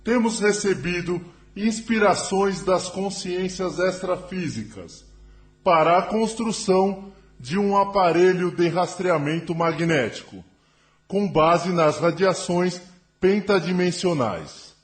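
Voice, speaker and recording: Man playing a slow, high-voiced solemn scientist. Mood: grave, concerned. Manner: solemn, formal, deliberate oratory. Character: grave alien male scientist addressing a solemn council. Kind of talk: spiritist audio drama acting.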